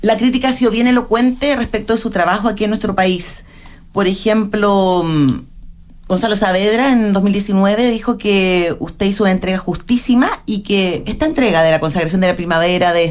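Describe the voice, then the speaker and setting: A woman's voice: mid-range voice, a little fast, a little mellow. A woman from Chile, interviewing a conductor and carefully reciting his credits and reviews. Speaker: interviewer, radio host. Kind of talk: cultural interview.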